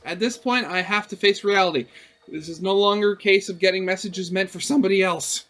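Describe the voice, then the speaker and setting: A man's voice: high voice, steady tone, fairly quick, a bit bright. A man narrating a visual novel aloud as a gaming host, switching between storybook-like reading and offhand, sarcastic commentary.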